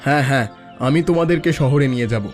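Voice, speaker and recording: A man's voice: medium pitch, fast talker, soft background music, lightly acted. A man voicing a friendly, confident young visitor from the city in a cartoon. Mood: friendly, generous. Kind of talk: Bengali cartoon voice acting.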